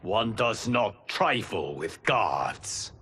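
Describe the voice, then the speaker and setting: A solemn, low-voiced guardian god. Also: male voice, sing-song, noisy background, exaggerated voice. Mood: commanding. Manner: formal, measured, stern. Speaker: game character voice actor. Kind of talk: dramatic video game cutscene acting. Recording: loud music behind the voice.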